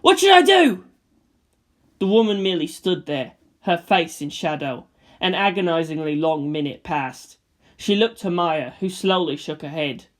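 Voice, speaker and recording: A woman's voice: low-pitched, normal speed. A woman narrator reading fan fiction as dramatic scripted narration.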